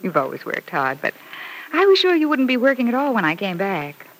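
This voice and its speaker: Gushing, animated mother. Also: on the high side, brisk, exaggerated voice. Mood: concerned, catty. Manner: effusive, theatrical, cooing with barbed sweetness. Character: gushing young mother, sweetly catty and dramatic. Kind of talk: old-time radio soap opera acting. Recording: noisy vintage radio recording.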